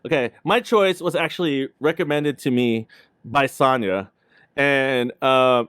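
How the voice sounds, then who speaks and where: A man's voice: medium pitch, measured pace, a bit bright, clear, resonant tone, no echo, studio quality. A man hosting a livestream show, chatting casually in informal slang. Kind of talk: casual chat.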